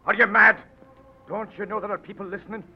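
An actor playing a curt rebel enforcer with a gravelly voice, in a 1940s radio drama on a muffled old broadcast. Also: male voice, high voice, flat delivery, fast, slight background noise. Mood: brusque. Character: brusque male rebel enforcer.

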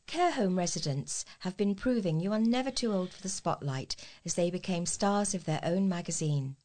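A woman reading scripted local news as a volunteer newsreader, in a measured read-aloud manner. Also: lowish voice, expressive, a little mellow.

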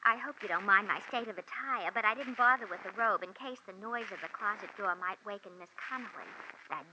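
An actress playing a brassy, gossipy, easily offended maid in a 1940s radio drama, mixing mock-genteel words with slang, on a noisy old recording. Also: female voice, medium pitch, a little fast, muffled recording, close to an everyday voice. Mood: confiding.

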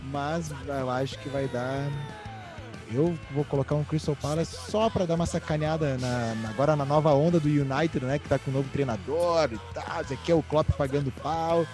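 A man's voice: a little high, moderate pace, music in the background. A man from southern Brazil hosting a podcast, chatting casually about football with playful, joking banter. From Rio Grande do Sul, Brazil. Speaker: podcast host.

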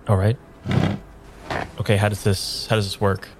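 Low-pitched male actor as weary, lost amnesiac seeker for a noir radio play, measured and halting, music underneath. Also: level tone, close to an everyday voice. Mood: hesitant, searching. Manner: measured, dramatic pauses when narrating, hesitant in dialogue. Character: bewildered amnesiac man, weary noir narrator seeking answers. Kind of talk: improvised noir radio drama narration.

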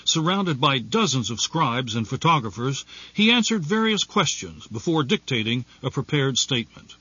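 A man's voice: mid-range voice, very animated, normal speed, pushed and bright, husky, no room sound, dull audio. A man reading scripted documentary narration.

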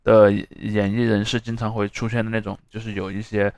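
A man's voice: lowish voice, little pitch movement, a little fast, darkish tone, no echo. A man co-hosting a casual discussion podcast, explaining step by step and rephrasing as he goes. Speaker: podcast co-host.

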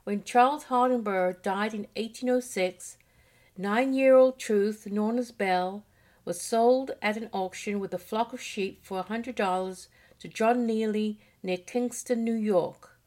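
A woman reading out a history lesson in a steady, measured voice. Her pitch sits in an ordinary middle range, and she keeps an even, deliberate pace. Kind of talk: read-aloud history lesson.

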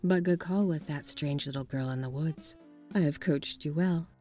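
Low, mellow knowing sleuth played by a woman. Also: moderate pace, soft background music, lightly acted. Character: knowing, matter-of-fact paranormal sleuth woman, the detective's mentor. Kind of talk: 1940s noir radio drama acting.

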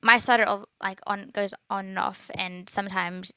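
A woman's voice: medium pitch, steady tone, normal speed, sharp tone, smooth, no room sound. A teenage girl hosting a podcast in casual chat, who stutters now and then and fills her talk with ums and giggles. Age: teens. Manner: stutters, many fillers and giggles. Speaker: podcast host.

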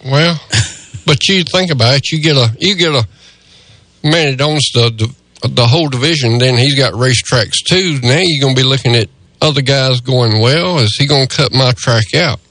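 A man co-hosting a casual radio talk show, jokey and quick to laugh. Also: medium pitch, a bit bright, no echo. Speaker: radio co-host.

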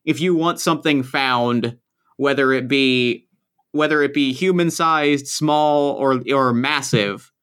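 A man hosting a tabletop game podcast, chatting casually and eagerly, and saying again and again how excited he is. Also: medium pitch, slow pace, clear, resonant tone. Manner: eager, gushing, repeats that he is excited. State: has a cold, losing his voice. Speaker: podcast host. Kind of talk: casual chat.

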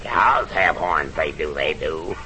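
A mischievous little gremlin frog with a deep, gravelly croak that swoops wildly up and down. He drawls slowly and keeps repeating himself. The old broadcast recording under him hisses loudly. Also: expressive, exaggerated voice. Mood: impish. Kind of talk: vintage children's radio comedy.

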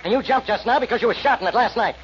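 An actor playing a gruff, badgering police inspector in a 1940s radio crime drama, blustering and accusatory, on a muffled old recording. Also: male voice, very high-pitched, racing, slight background noise. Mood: accusing, smug.

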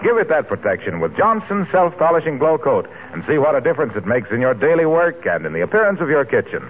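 A man's voice: fairly high pitch, sing-song, fast talker, a little hiss or hum. Tinny 1940s American radio announcer, male. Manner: polished, formal salesmanship. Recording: tinny old radio broadcast with music.

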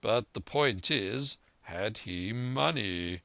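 A man voicing a calm, measured, dryly authoritative gentleman doctor in a storybook reading, composed and deliberate. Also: fairly low pitch, creaky, no room sound, heavily acted. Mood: measured, probing. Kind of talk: audiobook character voice.

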